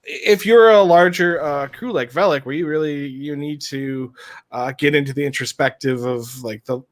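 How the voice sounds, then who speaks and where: A man's voice: fairly high pitch, moderate pace. A man leading a gaming guild, talking on a casual live-stream panel, joking and rambling with lots of fillers.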